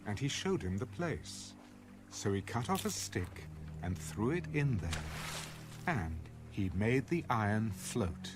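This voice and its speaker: An actor as a solemn storyteller, giving scripted dramatic narration of scripture. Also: male voice, fairly low pitch, big pitch swings, takes it slowly. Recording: clear music under the voice.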